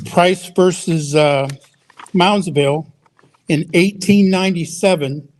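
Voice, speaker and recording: A man's voice: on the high side, darkish tone. A man giving public comment at a town hall in a school auditorium, stumbling and repeating words as he quotes the law. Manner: halting, repeats words while quoting law.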